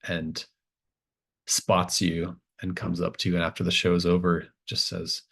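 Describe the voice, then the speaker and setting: A man with a low voice runs a tabletop roleplaying game. He narrates casually and off the cuff, halting as he thinks aloud, and encourages his players. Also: completely flat delivery, vocal fry, a bit bright, slightly rough. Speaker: game master.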